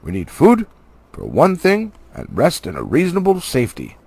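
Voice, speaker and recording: A man's voice: a little high, huge pitch swings, normal speed, vocal fry, darkish tone, husky, no echo, voice pushed up, full character voice. A man voicing a stern old wizard guide from a storybook, commanding and urgent, with an emphatic, admonishing delivery. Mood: urgent counsel.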